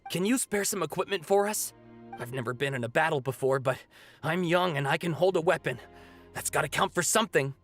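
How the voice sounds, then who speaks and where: A man's voice: on the high side, fairly quick, fairly bright tone. An actor playing a young male villager in a video game, a brave but untested volunteer who speaks earnestly and with determination. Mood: nervous courage. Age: young adult. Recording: game music behind the voice.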